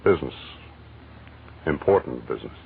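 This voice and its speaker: An actor playing a big, polite American stranger with a measured, insinuating manner, in 1940s radio drama style. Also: male voice, extremely low pitch, expressive, creaky, phone-quality sound, exaggerated voice. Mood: quietly menacing. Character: tall, heavy-set, calmly insinuating American stranger. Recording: thin, faintly hissy vintage radio recording.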